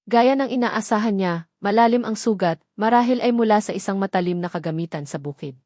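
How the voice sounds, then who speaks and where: A woman's voice: mid-range voice, a little fast, a little mellow, no echo, studio quality. A woman narrator reading a scripted romance story in a dramatic storytelling style.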